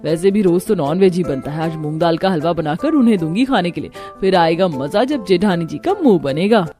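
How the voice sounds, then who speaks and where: A woman's voice: on the low side, animated, fairly quick, warm tone, natural voice. A woman playing a fretful, competitive rich younger daughter-in-law in a cartoon family story. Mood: scheming. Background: background music.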